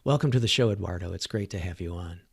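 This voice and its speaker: A man's voice: sits low, fast talker, vocal fry, breathy edge. A man hosting an interview podcast, reading prepared introductions and putting questions to his guest.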